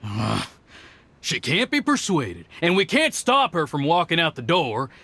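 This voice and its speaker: A man's voice: fairly high pitch, wildly swooping pitch, unhurried, sharp tone, full character voice. A stern scolding father. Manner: scolding, exasperated.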